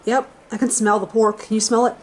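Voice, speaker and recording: A woman chatting casually in a home vlog, explaining things in a relaxed, offhand way. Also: on the high side, brisk. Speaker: vlogger. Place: house, indoors.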